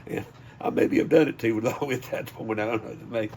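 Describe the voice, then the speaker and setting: A man's voice: medium pitch, steady tone, a little fast, a little mellow, a little hiss or hum. An older man with a Southern US accent, telling stories in a casual, folksy way as a sports announcer being interviewed. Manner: folksy, anecdotal. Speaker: high school sports PA announcer.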